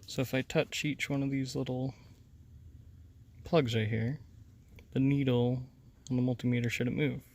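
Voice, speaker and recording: A man's voice: mid-range voice, flat delivery, moderate pace, pauses often, darkish tone. A man hosting a hands-on DIY tutorial, explaining each step in an informal, casual way. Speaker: host of a DIY installation demonstration. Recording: noticeable background noise.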